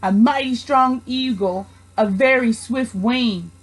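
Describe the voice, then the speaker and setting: A woman reading a children's storybook aloud with expressive, rhythmic narration. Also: fairly high pitch, slow pace, poor audio. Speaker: storybook reader, student teacher.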